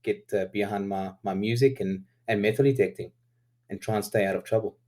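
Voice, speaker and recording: A South African man in his thirties whose first language is Afrikaans talks easily as a podcast guest. He is relaxed and laughs often, with plenty of ums as he explains himself. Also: fairly low pitch, brisk. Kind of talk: casual interview chat.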